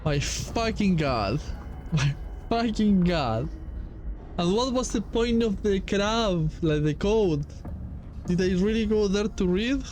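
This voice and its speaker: A man's voice: sits high, animated, slow, a little mellow, soft background music. A man who is a native Spanish speaker, giving casual gameplay commentary as he plays, talking to himself with some exasperation and swearing. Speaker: gaming streamer playing a horror game demo.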